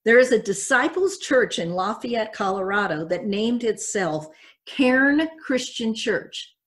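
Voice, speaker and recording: An older woman pastor giving a measured, reflective sermon, reading from notes at a church pulpit. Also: on the high side, lively intonation.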